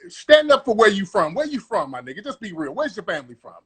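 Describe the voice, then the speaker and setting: A man's voice: sits high, sing-song, quick pace, husky. A man hosting a live call-in room, grilling callers in an aggressive, profane, repetitive way. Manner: aggressive, interrogating, profane, repeats himself. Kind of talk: confrontational live-stream comedy bit.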